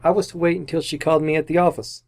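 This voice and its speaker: Man playing an earnest, higher-voiced anxious husband. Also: little pitch movement, racing, darkish tone, close to an everyday voice. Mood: subdued, cooperative. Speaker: amateur radio actor. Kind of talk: old-time radio mystery acting.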